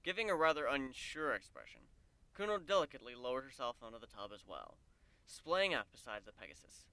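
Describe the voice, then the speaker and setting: A man reads a story aloud as a dramatic narrator. His voice is bright and smooth, with clear articulation and a dry, close recording. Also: mid-range voice, very animated, fairly quick, dry recording, poor audio. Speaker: narrator of a fan fiction reading.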